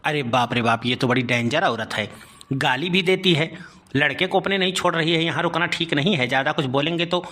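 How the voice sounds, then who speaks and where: A man acts out a village schoolteacher in a comic cartoon, stern yet easily cowed. His voice sits high and has a bright, forceful edge. He speaks in an admonishing, complaining way. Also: brisk. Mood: alarmed.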